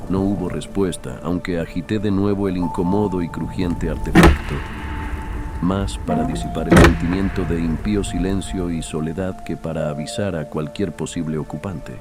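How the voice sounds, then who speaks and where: A man narrating a literary horror story in a solemn, measured manner. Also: deep voice, steady tone, loud music behind the voice. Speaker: audiobook narrator.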